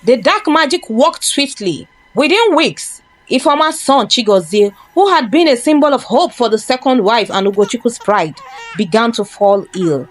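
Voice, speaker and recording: A woman's voice: on the high side, lively intonation, moderate pace, rough recording. A woman storyteller giving a scripted, dramatic narration of a folktale. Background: faint music.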